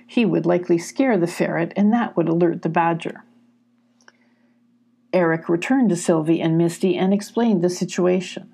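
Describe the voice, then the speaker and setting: An older woman narrating a children's storybook as host and reader, in an unhurried, expressive way. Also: on the low side, gravelly, breathy edge.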